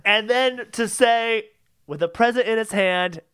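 A man's voice: sits high, a little slow, fairly bright tone, smooth. A man co-hosting a podcast, arguing insistently in a casual, comedic debate. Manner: insistent, argumentative. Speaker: podcast co-host.

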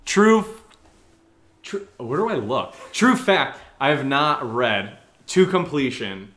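A man with a bright, forceful voice chats casually as a podcast co-host. He is animated and likes to tease. The room around him adds a clear echo. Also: mid-range voice, slow pace. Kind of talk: casual banter answering listener questions. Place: indoor room, live-streamed session. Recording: roomy, echoing room sound.

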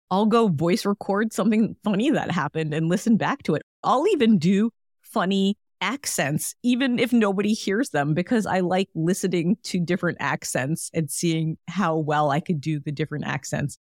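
A woman's voice: deep voice, a little mellow, no room sound, studio quality. A woman hosting a solo wellness podcast, talking in a chatty, encouraging way with frequent fillers. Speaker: podcast host.

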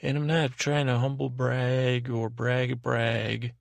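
A man hosting a podcast, delivering a rambling, whimsical bedtime monologue in a hesitant, deadpan way, often correcting himself and trailing off. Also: mid-range voice, slow, creaky, no echo. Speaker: podcast host.

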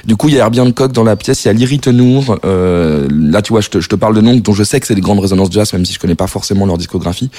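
French guy, radio guest, low voice, talks fast and rambles, dry close sound. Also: darkish tone, no echo. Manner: enthusiastic, slangy, rambling. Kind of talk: casual radio chat. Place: radio studio.

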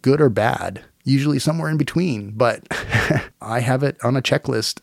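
A man in his forties, hoarse and nasal from a cold, talking in a rambling, self-deprecating way as he hosts a casual solo podcast. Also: mid-range voice, normal speed, dry recording, studio quality. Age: 40s. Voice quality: raspy, nasal. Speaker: podcast host. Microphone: home recording mic.

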